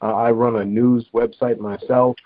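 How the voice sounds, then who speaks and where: A man calling in to a group phone call, speaking politely and haltingly. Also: mid-range voice, level tone. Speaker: caller, news website operator. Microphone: telephone. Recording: dull noisy phone line with clicks.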